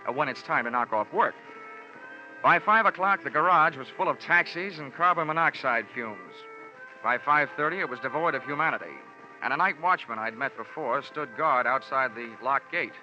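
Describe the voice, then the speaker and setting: An actor playing a tough, wry, world-weary insurance investigator in clipped old-time radio detective style. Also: male voice, medium pitch, expressive, moderate pace, natural voice. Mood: wry narration. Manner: clipped, hard-boiled, wry. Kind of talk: 1940s-50s radio detective acting and narration. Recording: dull old radio recording with music.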